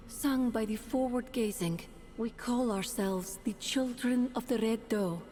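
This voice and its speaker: Slow, serene, solemn alien ruler. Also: female voice, fairly high pitch, a little mellow. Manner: measured, solemn, lyrical. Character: calm, earnest alien woman, serene stand-in ruler pleading for her people. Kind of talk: video game dialogue acting.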